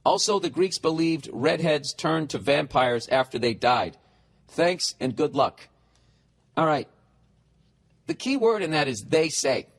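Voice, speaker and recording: 40s guy ranting on his podcast, high voice, swears nonstop, sarcastic. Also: lively intonation, measured pace, creaky, long pauses. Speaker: podcast host. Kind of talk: solo comedic rant.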